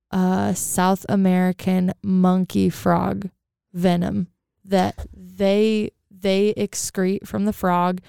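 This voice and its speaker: A woman with a raspy voice, chatting casually as a podcast co-host and rambling with lots of fillers. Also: fairly low pitch, warm tone, no room sound, clean studio sound. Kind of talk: casual chat.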